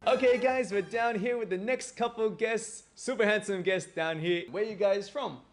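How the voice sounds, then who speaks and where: A man's voice: high voice, normal speed. An Australian man interviewing guests for his video, chatty and upbeat.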